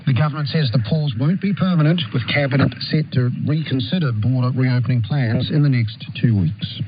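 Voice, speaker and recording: A man's voice: medium pitch, a little fast, faint music behind. A man with a New Zealand accent reading a scripted radio news bulletin. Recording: noisy, dull shortwave radio reception.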